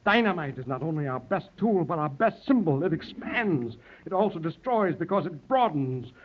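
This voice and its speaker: An actor playing an obsessive anarchist intellectual, fervent and emphatic in 1930s radio style. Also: male voice, fairly high pitch, sing-song, normal speed. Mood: fanatical, rising. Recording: muffled, hissy vintage radio recording.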